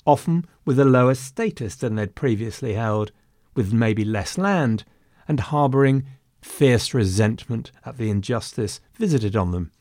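A man's voice: mid-range voice, darkish tone, dry recording, clean studio sound. A man narrating history in a conversational way, with wry asides.

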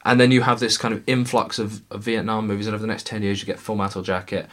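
A man's voice: lowish voice, level tone, quick pace, a bit bright. A man hosting a casual film review podcast, talking at length with lots of "uh" and "kind of" fillers. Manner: talkative, frequent fillers and hedges.

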